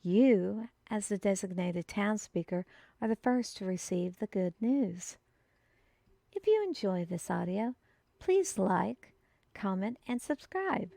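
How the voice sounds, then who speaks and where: A woman narrates a scripted tale in a measured storytelling manner. She takes her time with each phrase, and the recording is very dry with no room sound. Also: mid-range voice, expressive, creaky, dark tone, dry recording.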